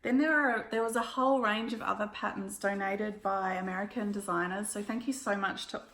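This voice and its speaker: An Australian woman with a croaky, hoarse voice, chatting casually through a stitching haul with lots of ums and little laughs. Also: mid-range voice, fairly even intonation, a little fast, a bit bright, echoey room. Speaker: cross-stitch vlogger. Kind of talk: casual show-and-tell recap.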